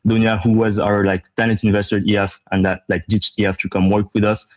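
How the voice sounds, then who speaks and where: A man from Morocco speaking as a startup co-founder in an interview, pitching his business conversationally with emphatic repetition. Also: monotone, fast talker.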